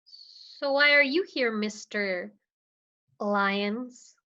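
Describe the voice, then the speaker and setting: A woman's voice: fairly high pitch, extremely slow, bright, forceful tone, dull audio, full character voice. A woman voicing a sarcastic, exasperated young female patient with a dry, dismissive manner.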